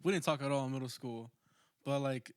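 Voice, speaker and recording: A man's voice: medium pitch, a little fast, clean tone, no echo. A teenage man, the band's drummer, talking casually with fillers and plenty of bro. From Portland, Oregon. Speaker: band drummer. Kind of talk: casual interview chat.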